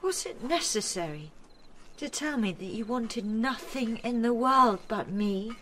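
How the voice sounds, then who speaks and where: A woman's voice: a little high, lively intonation, measured pace, fairly bright tone, slight background noise. An actress playing a desperate, wounded married woman in a radio drama, speaking with emotional intensity to her lover. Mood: hurt, reproachful.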